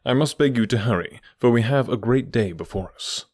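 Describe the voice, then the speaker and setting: A man voicing a brisk, methodical middle-aged male detective who explains his reasoning with precise confidence. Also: medium pitch, expressive, a little mellow, dry recording, clean studio sound, close to an everyday voice.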